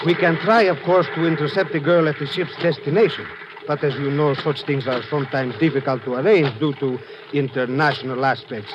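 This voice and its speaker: An actor playing a formal, businesslike Greek police lieutenant who gives precise orders in a 1950s radio drama. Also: male voice, a little high, steady tone, brisk, lightly acted. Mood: grave. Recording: muffled, noisy old radio recording.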